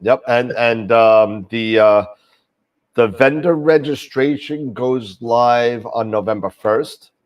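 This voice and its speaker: A man's voice: mid-range voice, takes it slowly, far from the mic, highs cut off. A man hosting a live astronomy show, talking casually with many fillers and restarts while getting over a cold. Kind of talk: casual live-stream chat.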